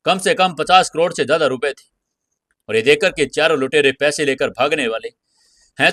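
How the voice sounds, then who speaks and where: Bright, forceful male storyteller. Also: mid-range voice, dry recording. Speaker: storyteller, narrator. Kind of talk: dramatic scripted audio-series narration.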